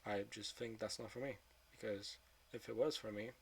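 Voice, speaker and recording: A husky-voiced man of twenty-two talks to camera in a flat, nearly monotone way. He is blunt and swears often, and his sentences keep sinking into quiet mutters. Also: lowish voice, moderate pace. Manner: subdued, trailing off into quieter mutters; frank, with frequent swearing. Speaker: vlogger. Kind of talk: confessional monologue.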